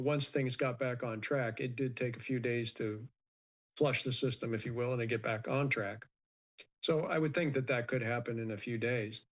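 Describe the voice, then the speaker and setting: An American man speaking as an expert interviewee, giving unscripted commentary in a measured, matter-of-fact, explanatory way. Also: medium pitch.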